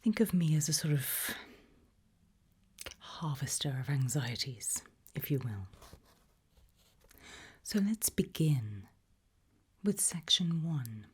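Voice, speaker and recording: A woman softly whispering an ASMR roleplay, questioning the listener in a deliberate, gently ominous way. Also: low voice, animated. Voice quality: soft breathy whisper, mostly unvoiced.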